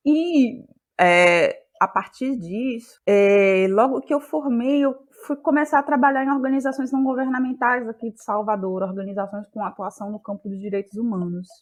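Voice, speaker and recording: Bahian Brazilian woman, reflective and emphatic. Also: medium pitch. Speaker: guest, playwright, actress and journalist. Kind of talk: reflective interview talk.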